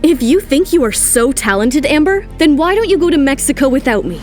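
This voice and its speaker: A woman's voice: sits high, sing-song, brisk, a little mellow, soft background music. A woman voicing a proud, confident top model in an audio drama, assertive and cutting. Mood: defiant.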